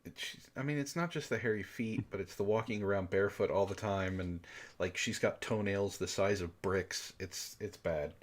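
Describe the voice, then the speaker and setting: A man's voice: lowish voice, normal speed. A man bantering in a comedic book club chat, theatrical and mock-pompous, easily worked up. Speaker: book club co-host and reader.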